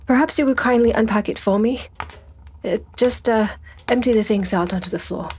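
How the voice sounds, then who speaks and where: A woman voicing a nervous, polite gentleman in an audiobook, shaken, hesitant and stammering. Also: fairly high pitch, normal speed, a little hiss or hum, pitched above the performer's own voice, natural voice.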